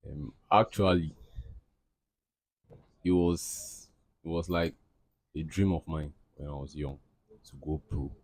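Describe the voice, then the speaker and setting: A man's voice: rumbling low, darkish tone. A young man from Cameroon, answering interview questions in a rambling, casual way with lots of fillers and restarts. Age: young adult. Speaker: interviewee, aspiring footballer.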